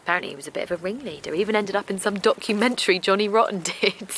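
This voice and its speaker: A woman's voice: medium pitch, racing, fairly bright tone, natural voice. An actress playing a naive, kindly middle-aged village woman in an audio drama, hesitant and defensive, with colloquial phrasing. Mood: gossipy, hesitant. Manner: hesitant, colloquial, protesting, repeats herself. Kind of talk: naturalistic audio drama acting.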